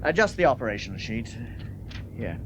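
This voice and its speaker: An actor playing a brisk, matter-of-fact airfield ground officer in a radio play. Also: male voice, mid-range voice, sing-song, noisy background, close to an everyday voice. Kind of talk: 1970s radio drama acting.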